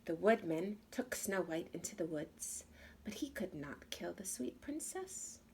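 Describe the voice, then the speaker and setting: A woman's voice: fairly low pitch, lively intonation, unhurried, a little mellow, a little hiss or hum. Woman reading a children's storybook. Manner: addresses young listeners with questions. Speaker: storybook reader. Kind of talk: expressive children's read-aloud.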